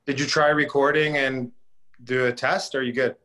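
Casual male talker, average pitch, informal off-the-cuff delivery. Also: flat delivery, normal speed, sharp tone. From Canada. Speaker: podcast producer. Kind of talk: casual chat.

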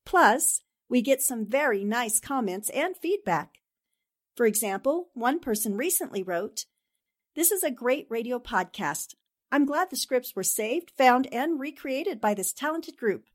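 Woman podcast performer, slightly high voice, scripted listener address. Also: expressive, measured pace. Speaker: podcast cast member thanking listeners.

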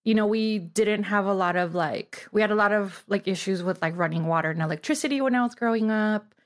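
Woman, middle-aged, from Mexico. Interview guest, informal storyteller, medium pitch, lots of "like". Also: brisk. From Tijuana, Mexico. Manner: informal, peppers speech with "like". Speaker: guest, artist and designer. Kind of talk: casual personal storytelling interview.

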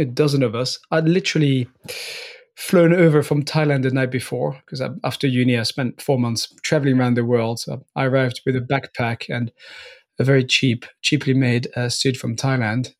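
Man with an unplaceable French-Irish accent. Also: mid-range voice. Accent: mixed French and Northern Irish. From France. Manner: relaxed, frequent restarts and small laughs. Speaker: guest. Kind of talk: casual interview chat.

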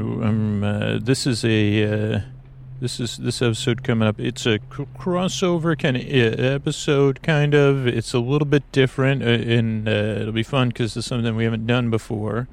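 A man hosting a sleep podcast in a creaky voice, hesitant and meandering as he introduces things and corrects himself. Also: medium pitch. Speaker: podcast host.

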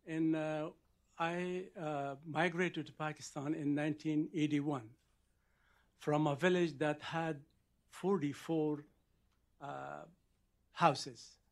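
A man from Afghanistan stands up at a press briefing to ask a question. He speaks in a fairly high register and pauses often with hesitant fillers as he builds his point. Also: fairly even intonation, vocal fry. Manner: halting, with frequent "uh" pauses. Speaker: reporter asking a question.

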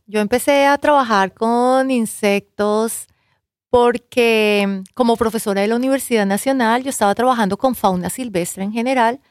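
Colombian woman, higher voice, explaining earnestly. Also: no echo, clean studio sound. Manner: earnest, explanatory, with some hesitations. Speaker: researcher and university professor. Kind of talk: interview answers.

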